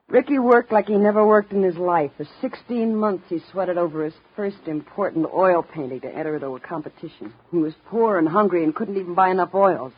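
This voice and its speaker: A muffled vintage radio recording of a tough showgirl acted by a woman. Her voice is husky and bitter, with slangy, melodramatic phrasing and a wounded edge. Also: medium pitch, natural voice. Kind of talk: 1950s radio drama acting.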